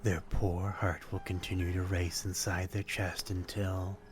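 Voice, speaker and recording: A man acts out a brooding vampire stranger for an ASMR roleplay. His voice is fairly low and he takes his words very slowly, with old-fashioned courtesy and a quiet predatory edge. Faint music plays behind him. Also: flat delivery. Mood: quietly menacing. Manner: old-fashioned, polite, brooding, addressing one listener intimately. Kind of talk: ASMR roleplay, dramatic monologue.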